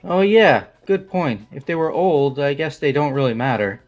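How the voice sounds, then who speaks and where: A man's voice: on the high side, animated, moderate pace, dull audio, soft background music, lightly acted. A man voicing a casual, blunt, sarcastic young man in a fan-voiced game. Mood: curious. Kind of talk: fan-voiced visual novel game acting.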